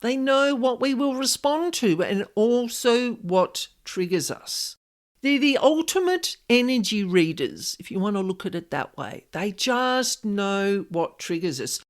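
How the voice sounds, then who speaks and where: A woman's voice: mid-range voice, big pitch swings, a little slow. A woman hosting a solo podcast, explaining things conversationally and putting questions straight to the listener. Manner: conversational, addresses listener directly with rhetorical questions. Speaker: podcast host and paranormal specialist.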